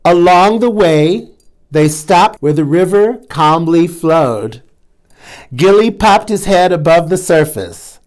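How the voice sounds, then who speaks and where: A man reading a picture book aloud for children and pausing to chat with his young audience. His delivery is slow and unhurried, and his pitch moves in an animated, lively way. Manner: chatty asides to young listeners. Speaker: storytime reader, drag queen host.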